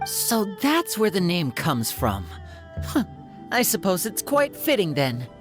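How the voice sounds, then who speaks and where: A woman voicing a polite, expressive, considerate young character in a fantasy game. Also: on the low side, takes it slowly. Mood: curious, pleased. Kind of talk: animated fantasy game acting. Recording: music under the voice.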